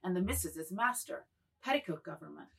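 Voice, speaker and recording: Woman acting a husky, domineering brothel madam. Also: lowish voice, expressive, brisk, a bit bright, full character voice. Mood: scornful. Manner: imperious, commanding. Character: massive, heavy, domineering middle-aged brothel madam. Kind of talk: dramatised literary reading.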